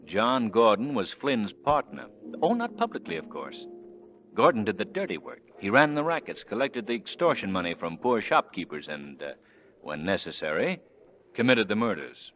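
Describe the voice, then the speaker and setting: A confident, scheming gentleman sleuth in a radio mystery. His speech is smooth and wry with lively intonation, heard on a slightly muffled old recording. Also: lowish voice, normal speed, faint music behind. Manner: smooth-talking, sardonic, assured. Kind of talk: old-time radio drama acting.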